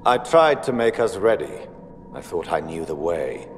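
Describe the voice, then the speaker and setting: An actor playing a fanatical, menacing knight captain in a fantasy game, ominous and theatrical. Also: male voice, sits low, very animated, normal speed, faint music behind. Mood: anguished. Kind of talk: dramatic fantasy game acting. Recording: long hall reverb, clear background noise.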